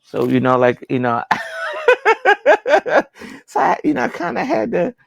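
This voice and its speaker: A man's voice: fairly high pitch, huge pitch swings, unhurried, gravelly, no echo, studio quality. A man co-hosting a podcast, telling a rambling story full of fillers and laughter. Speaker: podcast co-host. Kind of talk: casual chat.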